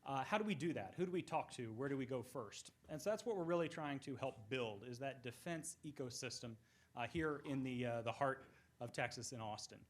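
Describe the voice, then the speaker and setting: A man speaking to a live audience, using rhetorical questions in a steady tone. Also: mid-range voice, a little fast. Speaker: event speaker.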